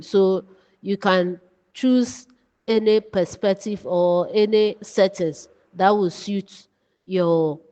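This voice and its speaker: A woman giving an instructive lesson, speaking deliberately in short phrases. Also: mid-range voice, a little mellow, clean tone. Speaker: writing teacher. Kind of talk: lesson narration with read-out examples. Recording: long reverberant echo.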